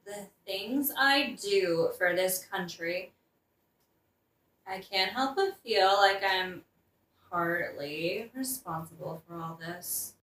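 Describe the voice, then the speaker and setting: A woman's voice: mid-range voice, slow pace, fairly bright tone. A woman playing a reluctant, weary queen with a dry, put-upon manner in a satirical audio play. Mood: weary reluctance. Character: reluctant, weary queen and mother, politely condescending. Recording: echoey room, distant from mic.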